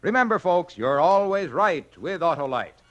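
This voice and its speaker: A man's voice: on the high side, sing-song, normal speed, fairly bright tone, muffled recording. A man delivering a 1940s radio commercial in a salesy, emphatic manner. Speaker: commercial pitchman.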